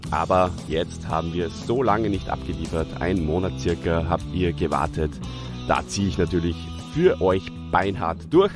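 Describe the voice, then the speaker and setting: A man's voice: low voice, animated, normal speed, music in the background. A man hosting a casual podcast chat while suffering from a cold. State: has a cold. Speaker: podcast host.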